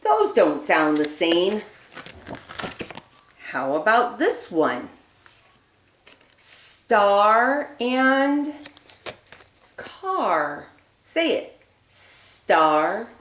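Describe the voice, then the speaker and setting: A woman teaching young children a rhyming lesson in a slow, sing-song voice with lots of praise. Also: mid-range voice, roomy sound.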